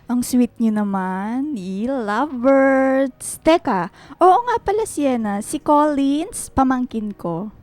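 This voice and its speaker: An actress playing a bubbly, teasing young aunt in a radio drama, playfully mixing in English. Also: female voice, sits high, lively intonation, slow, a little mellow, no echo.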